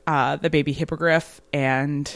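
Giggly low-voiced podcast co-host woman. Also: fairly even intonation, a little slow, no room sound. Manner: laughs often, chatty with fillers. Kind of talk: casual chat.

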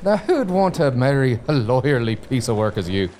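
A man's voice: mid-range voice, big pitch swings, moderate pace, darkish tone, a little hiss or hum, natural voice. A man playing a hearty, food-loving, teasing sailor who talks colloquially in a comic audio drama. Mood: teasing, exasperated. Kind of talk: gothic comedy audio drama dialogue.

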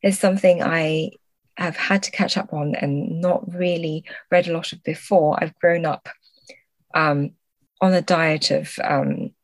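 A woman's voice: low-pitched, steady tone, unhurried, dry recording. A woman speaking as a literary translator in an interview, reflective and hesitant as she searches for words.

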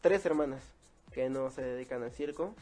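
A man in his twenties with a mellow, relaxed voice at a medium pitch. He speaks casually, like a guest telling his story in an interview, with light music underneath. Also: moderate pace. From Mexico. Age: 20s. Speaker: interview guest, circus clown.

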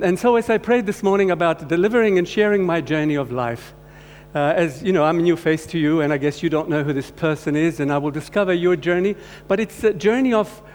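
Man preaching in an echoing church. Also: fairly high pitch. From Croatia. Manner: halting, warm-hearted, many restarts. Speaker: guest speaker. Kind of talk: personal testimony sermon. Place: church hall. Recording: long hall reverb, faint hum.

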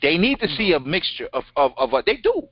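A middle-aged man with a deep voice co-hosting a casual show, talking in an emphatic, slangy way and repeating his phrases. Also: sing-song, brisk, dull audio. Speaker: show co-host. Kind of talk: casual, unscripted conversation.

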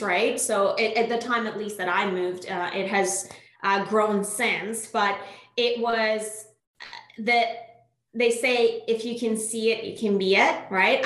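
A woman's voice: mid-range voice, a bit bright. A woman in her thirties, born in Iran with Farsi as her first language, speaking thoughtfully as a podcast guest with many fillers and restarts. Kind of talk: conversational interview. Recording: echoey room.

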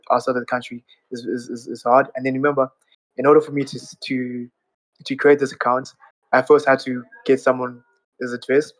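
A man talks in a steady, flat tone, earnestly walking through his points one at a time. His voice sounds dry and close, with little room around it, and sits in a middle register. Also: medium pitch, no room sound, low-quality recording. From Zimbabwe. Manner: earnest, explaining step by step. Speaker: audience speaker. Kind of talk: casual live audio chat.

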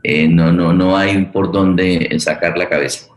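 A man with a Colombian accent talking conversationally about his views as a forum host, halting and repeating himself as he searches for words. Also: deep voice, steady tone. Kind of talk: conversational political and cultural discussion. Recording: echoey room sound.

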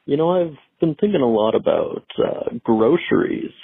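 A man's voice: a little high, expressive, moderate pace, vocal fry, breathy edge, no room sound. A man from the United States leaves a wry, musing voicemail for callers. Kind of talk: playful voicemail message. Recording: tinny phone line.

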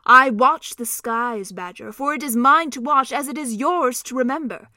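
Brief: a woman doing a grave old male centaur seer, loud, slow and solemn. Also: dry recording, studio quality. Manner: solemn, booming declarations. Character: stern old male centaur prophet, noble.

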